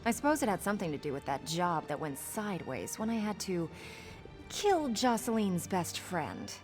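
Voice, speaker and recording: A woman's voice: mid-range voice, big pitch swings, moderate pace, darkish tone, long reverb tail, soft background music, natural voice. An actress playing a scheming, cynical pirate woman in a video game, with a sardonic, conspiratorial manner. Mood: bitter, matter-of-fact. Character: scheming roguish female pirate, sly and cynical. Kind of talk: video game character dialogue.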